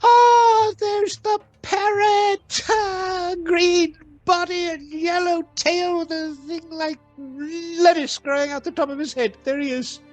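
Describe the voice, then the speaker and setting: Radio drama role: excitable, stammering old miser, high-pitched, theatrical, with music underneath. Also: female voice, slow pace, hardly any pauses. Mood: excited, delighted. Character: excitable old miser softening as he relives boyhood. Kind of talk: theatrical radio play acting.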